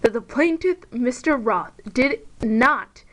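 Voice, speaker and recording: A formal, brisk judge in an amateur puppet show, voiced by a young woman. The voice is fairly high and delivers scripted rulings in an official manner. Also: animated. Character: formal, brisk court judge presiding over case.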